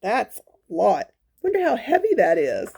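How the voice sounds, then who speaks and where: A woman's voice: mid-range voice, wildly swooping pitch, unhurried, vocal fry, warm tone, breathy edge. A woman reading a picture book aloud to young children, adding folksy exclamations and coaxing little questions as she goes. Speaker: children's storytime reader.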